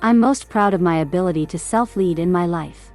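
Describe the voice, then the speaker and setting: A woman's voice: on the low side, a little fast, a little mellow, soft background music. A woman earnestly reading her pageant answers. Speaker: pageant contestant.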